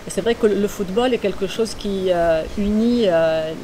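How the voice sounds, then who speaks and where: A woman's voice: medium pitch, moderate pace. A woman from Monaco, bilingual in Italian and French since birth, hosting an interview in an earnest and reflective way. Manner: earnest, reflective, laughs easily. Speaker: host, dancer. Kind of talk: casual interview conversation. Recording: noisy background.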